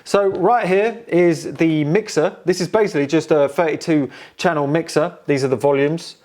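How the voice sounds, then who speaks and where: Guy with a highish voice doing a casual tech walkthrough, hesitant, slangy, thinking out loud. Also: moderate pace. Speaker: presenter showing and restoring old technology. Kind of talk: casual, unscripted equipment walkthrough.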